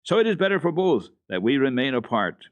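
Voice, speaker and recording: Man reading as a stubborn, animated old mountain grandfather. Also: mid-range voice, a little fast, no room sound, lo-fi sound, pitched above the performer's own voice, close to an everyday voice. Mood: proud, firm. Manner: decided, firm, blunt. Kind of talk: dramatic storybook reading.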